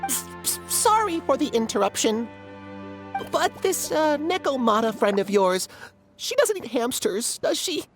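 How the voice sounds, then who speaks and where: A woman's voice: high-pitched, big pitch swings, unhurried, music in the background. A woman voicing a tiny, timid, polite toy creature in a video game, stammering and hesitant. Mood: nervous. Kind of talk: English-dub video game cutscene acting.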